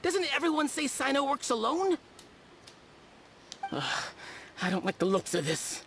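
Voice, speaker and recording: Shifty dealer for a game: brusque and irritable with very animated intonation. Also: male voice, very high-pitched, unhurried, a little hiss or hum, full character voice. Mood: wary. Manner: curt, irritable. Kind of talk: video game character acting.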